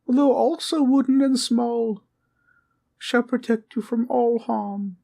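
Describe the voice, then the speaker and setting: A small, courtly teddy bear guardian, played by a woman, speaks in a high, soft and mellow voice. The little bear takes its time, sweet and childlike, offering polite, old-fashioned bedtime comfort as in a gentle audio roleplay. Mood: gently valiant.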